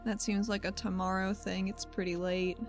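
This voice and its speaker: A woman's voice: medium pitch, little pitch movement, moderate pace, faint music behind, natural voice. Woman playing a wry, casual female troublemaker. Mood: tired, uneasy.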